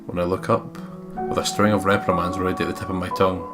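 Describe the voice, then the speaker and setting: A man with a low voice narrates and comments on a visual novel in a casual let's play style. Game music plays behind him. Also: little pitch movement, quick pace. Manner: casual and sweary. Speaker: let's play co-host reading aloud.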